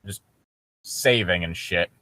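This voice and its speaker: A man's voice: low-pitched, measured pace, pushed and bright, no echo. Sarcastic man reading aloud, very dry sound. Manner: sarcastic, blunt, sweary. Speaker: co-host of a comedic fan fiction reading show. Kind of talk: casual riffing while reading a story aloud.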